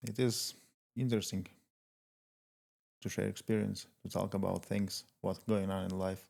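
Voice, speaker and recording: A man from Latvia, Russian his first language, speaks as a podcast guest. His voice is deep with a gravelly edge, and he keeps his answers short and measured. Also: little pitch movement, a little mellow. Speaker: guest, strength coach. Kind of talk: podcast interview.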